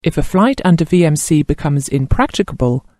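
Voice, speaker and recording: A man reading scripted instructional narration in a formal, measured manner, like a course instructor. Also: fairly high pitch, animated, a little mellow, no echo, clean studio sound.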